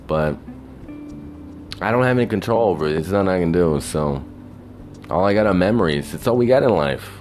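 A man's voice: sits low, a little mellow. A man talking alone in a rambling, reflective way, wistful and full of "you know", with faint music behind him. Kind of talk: rambling personal monologue.

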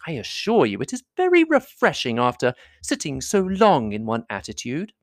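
A man voicing a haughty, scornful young society woman with an arch, affected manner and high swoops. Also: huge pitch swings, normal speed, dry recording, clean studio sound. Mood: coaxing.

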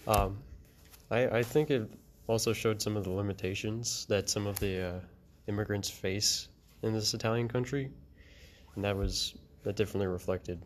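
Young man hosting, low voice, audible noise. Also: fairly even intonation, normal speed. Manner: hesitant, many fillers and restarts. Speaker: student podcast host. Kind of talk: casual discussion of a short story and a game. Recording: noisy amateur recording.

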